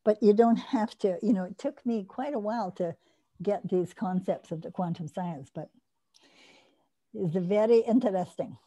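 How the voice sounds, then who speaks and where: A woman's voice: medium pitch, normal speed, mellow, rough recording. An older woman hosting an online course on a video call, explaining eagerly and restarting her sentences often. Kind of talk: teaching and taking questions on a video call.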